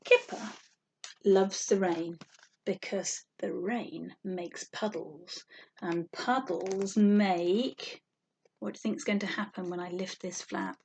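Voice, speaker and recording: Woman, children's storytime reader, unhurried pace, sing-song questioning delivery, slight noise behind. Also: mid-range voice, poor audio. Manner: animated, sing-song, drawn-out emphasis for small children. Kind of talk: read-aloud picture book storytelling with questions to children.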